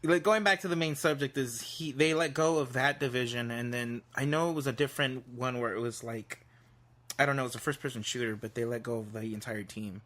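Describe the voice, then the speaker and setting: A man's voice: medium pitch, fairly even intonation, brisk, fairly bright tone. A man co-hosting a casual podcast, talking in an informal, slangy way with little asides. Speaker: podcast co-host. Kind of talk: casual group podcast chat.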